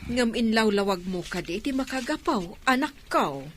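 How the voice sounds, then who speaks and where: A woman's voice: a little high, expressive, moderate pace, a bit bright, faint music behind. A woman playing a concerned mother of a grown daughter in an Ilocano radio drama. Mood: questioning.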